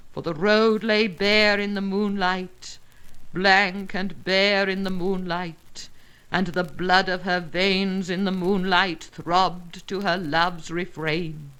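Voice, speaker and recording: A woman reading narrative poetry aloud in a dramatic, measured and expressive manner, with deliberate pauses and emphasis. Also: medium pitch, clean tone, rough recording. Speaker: poetry reader.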